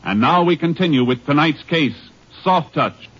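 A man's voice: on the high side, lively intonation, normal speed. An American man announcing a program in scripted 1950s radio style. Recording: muffled, noisy 1950s radio recording.

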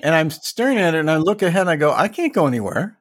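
Joking man with a cold-deepened voice. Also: big pitch swings, fast, no echo. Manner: joking storyteller, dry humor. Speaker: podcast co-host. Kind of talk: casual podcast chat.

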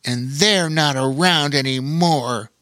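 A man voicing a friendly, frank male spider in a storybook, talking eagerly in a high squeaky voice. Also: slow, sharp tone, no room sound, studio quality. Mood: chatty. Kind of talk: storybook reading.

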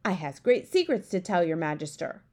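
A woman's voice: on the low side, sing-song, moderate pace, a little mellow. A woman voicing a huge, kindly male giant who speaks with garbled grammar and grand, humble politeness. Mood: earnest.

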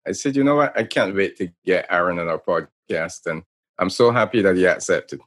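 A man co-hosting a casual travel podcast, easygoing and quick to laugh along. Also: low voice. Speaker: podcast co-host.

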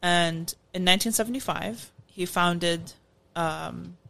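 A woman's voice: on the low side, slow, vocal fry, fairly bright tone. A woman with an American accent, co-hosting a casual food podcast and explaining things in an informal way. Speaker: podcast co-host.

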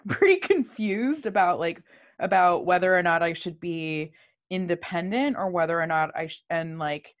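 Guest: lowish woman, dull lo-fi dry sound, searching, halting delivery. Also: dry recording. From United States. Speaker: panel guest. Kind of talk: casual panel chat.

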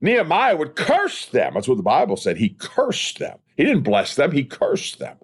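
A man's voice: fairly high pitch, wildly swooping pitch, normal speed, husky. A man preaching an expository sermon as an evangelist, emphatic, with repeated rhetorical phrases. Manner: emphatic, repetitive rhetorical cadence. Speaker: evangelist preacher, podcast host.

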